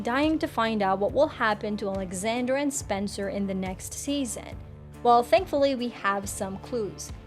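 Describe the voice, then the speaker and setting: A woman narrates an entertainment news video from a script. Her voice sits a little above average in pitch. She speaks directly to viewers and puts questions to them, with soft music underneath.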